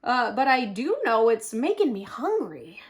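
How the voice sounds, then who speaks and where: A hesitant male sheep from a picture book, voiced by a woman. He sounds bookish and blunt, with halting lines in a high, lively pitch. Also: a little hiss or hum. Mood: unsure. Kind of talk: picture book read-aloud.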